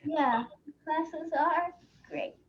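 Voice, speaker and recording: A woman's voice: sits high, takes it slowly. A female theater class student making short, simple, enthusiastic remarks on a lo-fi video call.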